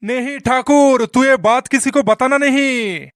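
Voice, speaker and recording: A man's voice: very high-pitched, a little slow, a bit bright, dry recording, clean studio sound. A man voicing a swaggering, menacing but foolish bandit boss in a comic cartoon, drawling and taunting in an exaggerated way. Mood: pleading.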